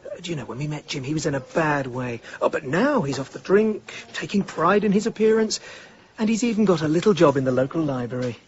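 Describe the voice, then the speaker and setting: Actor as an earnest, animated English shelter worker. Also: male voice, fairly high pitch, muffled recording, a little hiss or hum, close to an everyday voice. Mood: musing, proud. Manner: hesitant, deliberate, dry. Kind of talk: radio comedy-drama acting.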